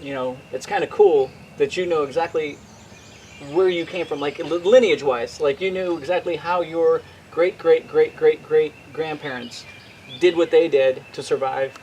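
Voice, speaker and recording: A man hosting an interview, asking casual questions in a halting way with many fillers and repeated words. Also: on the high side, a little mellow, a little hiss or hum. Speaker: interviewer, host.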